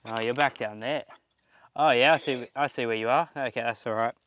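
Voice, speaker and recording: A man's voice: medium pitch, steady tone, brisk, fairly bright tone, highs cut off. A young man, an electrician, giving a casual and easygoing explanation of a job to camera. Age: young adult. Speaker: electrician presenting an installation. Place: indoors in a house. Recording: faint music behind the voice.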